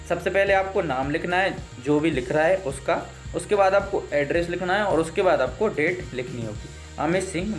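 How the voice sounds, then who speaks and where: Man, Indian accent, native Hindi speaker, slightly high voice, instructive teacher, step-by-step explaining, music behind. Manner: instructive, repeats points, slow deliberate read-outs. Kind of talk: exam lesson, explaining step by step.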